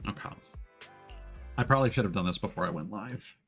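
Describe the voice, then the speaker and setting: A man's voice: fairly low pitch, brisk, a bit bright, faint music behind. A man giving casual live game-stream commentary, thinking aloud in a hesitant, rambling way. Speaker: streamer, VTuber.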